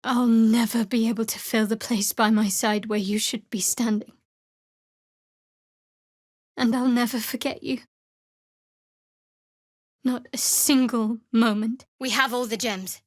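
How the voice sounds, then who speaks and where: Guarded, sardonic young dark cleric. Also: female voice, a little high, steady tone, measured pace, pauses often, dry recording, studio quality. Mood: soft, measured. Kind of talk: video game character dialogue.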